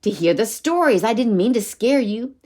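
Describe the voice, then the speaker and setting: A woman's voice: on the high side, animated, normal speed, darkish tone. A woman voicing a friendly, candid little boy in a children's story. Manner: candid, pleading.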